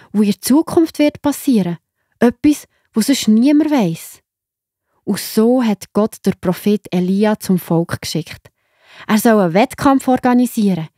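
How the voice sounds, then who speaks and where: Husky Swiss woman storyteller. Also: medium pitch, a little mellow, no echo, studio quality. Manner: explains simply, addressing young listeners directly. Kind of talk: narration of a children's Bible audio story.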